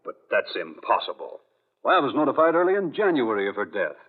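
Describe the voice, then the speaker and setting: A self-assured, skeptical husband in a vintage radio drama. His medium-pitched voice moves in animated swings, brisk and reassuring. The recording is dull and narrow, like an old broadcast. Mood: insistent, puzzled. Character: confident, skeptical gentleman governor, unruffled husband.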